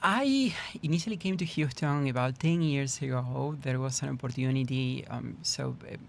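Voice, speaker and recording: A man's voice: medium pitch, normal speed, vocal fry, a little hiss or hum. A middle-aged man from Argentina with an Argentine Spanish accent, a guest therapist on a podcast who often repeats words as he searches for the phrasing. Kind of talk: podcast interview.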